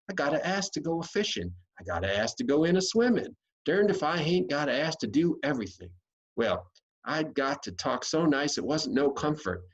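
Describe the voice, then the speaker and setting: A man's voice: on the high side, muffled recording, voice pushed up. A man voicing a disgruntled, untamed country boy in a storybook, complaining in a folksy drawl. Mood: exasperated complaint. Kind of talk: storybook character voice.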